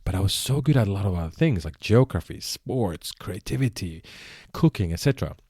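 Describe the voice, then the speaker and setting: A man's voice: lowish voice, lively intonation, fairly quick, darkish tone, husky, no room sound, clean studio sound. A man born in Iran and raised speaking Persian, hosting a solo podcast, explaining earnestly with frequent self-corrections. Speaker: podcast host.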